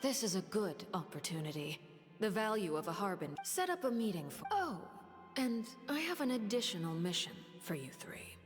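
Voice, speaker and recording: An actress playing a composed, calculating woman leader in a video game, speaking in a measured, formal way. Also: medium pitch, very animated, soft background music, close to an everyday voice. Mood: commanding.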